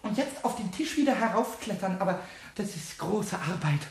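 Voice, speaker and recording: Squeaky, mischievous little kobold. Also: male voice, animated, normal speed, a little mellow, slight background noise. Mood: playful effort. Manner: muttering to himself in rhymes and singsong. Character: small mischievous kobold, cheeky, childlike, excitable, rhyming. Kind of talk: children's radio play acting.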